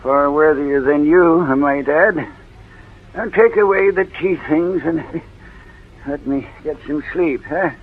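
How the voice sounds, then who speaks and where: An actor playing a sly, ailing old uncle in 1940s radio drama, deliberate and needling. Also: male voice, on the high side. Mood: sardonic. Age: older. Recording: muffled old radio recording, narrow band.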